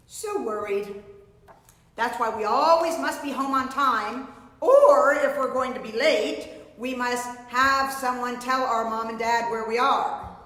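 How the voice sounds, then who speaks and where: A woman's voice: a little high, fairly bright tone. A middle-aged woman reading a picture book aloud to young children, theatrical and explanatory. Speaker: children's storyteller. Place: indoors before a painted storybook backdrop. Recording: very echoey, reverberant room.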